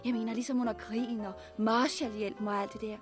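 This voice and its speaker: A woman's voice: a little high, fairly even intonation, music in the background, close to an everyday voice. An actress playing a naive, stubborn woman clinging to hope of rescue in a 1960s Danish radio drama, insistent and questioning. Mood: insistent, hopeful.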